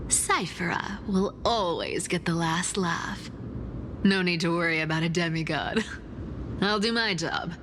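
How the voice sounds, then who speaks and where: An actress voicing a sly, cocky demigod of trickery in a video game, teasing, boastful and casual. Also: female voice, on the low side, a little slow, background noise. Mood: playful, boastful. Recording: music behind the voice.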